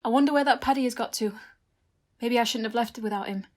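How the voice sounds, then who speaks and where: A woman's voice: fairly high pitch, fast, darkish tone, close to an everyday voice. A woman playing a fretful, affectionate aunt in an audio drama. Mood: worried. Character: fretful, fond aunt of a boy detective.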